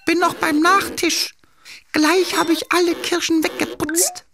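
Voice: very high voice, fairly even intonation, a little slow, gravelly, bright, forceful tone, exaggerated voice. An actor voicing a naive, stubborn and playful little boy king in a children's cartoon. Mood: eager, confident. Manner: playful, self-assured, naive.

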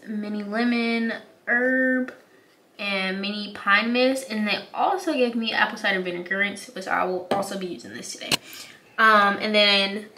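A woman's voice: on the high side, a bit bright, a little hiss or hum. A young woman in her twenties with a congested, nasal voice from a cold, chatting casually in a rambling way for her hair care vlog, recorded on a phone in a small bathroom. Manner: rambling, lots of fillers, chatty. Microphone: phone or camera on a counter.

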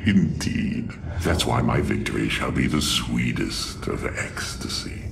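Game villain: haughty alien warlord, bottomless gravelly bass, slow theatrical menace, power-hungry. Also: male voice, expressive, noisy background, exaggerated voice. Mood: cruel relish. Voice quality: very deep, gravelly. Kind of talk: dramatic video game cutscene acting. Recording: loud music under the voice.

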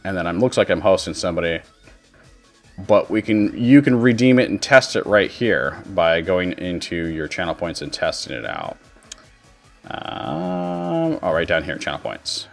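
A man giving a casual software tutorial as a streamer, explaining things informally step by step. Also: deep voice, sing-song, moderate pace, vocal fry. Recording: music behind the voice. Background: background music.

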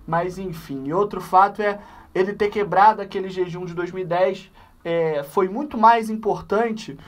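A man in his twenties hosting a football fan channel, giving voice-over commentary in an emphatic way, stretching key phrases slowly. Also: high voice. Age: 20s. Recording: voice somewhat distant from the mic.

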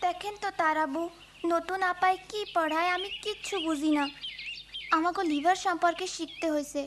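A woman's voice: very high voice, steady tone, normal speed, background noise. A woman voicing an earnest, curious village schoolgirl in an educational cartoon, childlike and eager, with a Bangladeshi Bengali accent. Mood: dejected. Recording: background music under the voice.

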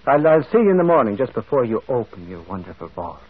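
A man's voice: medium pitch, big pitch swings, fast talker, slightly rough. An actor playing a mild, inquisitive priest sleuth in an old radio drama, hesitant and repeating his words. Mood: politely probing. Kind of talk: 1940s radio acting. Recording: muffled, phone-like old radio recording.

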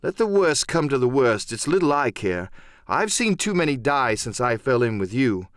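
A man's voice: mid-range voice, big pitch swings, normal speed, a bit bright, no echo, studio quality. A man voicing a proud, defiant boy among pirates in a storybook, speaking in an earnest, emphatic, declamatory way. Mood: defiant, excited.

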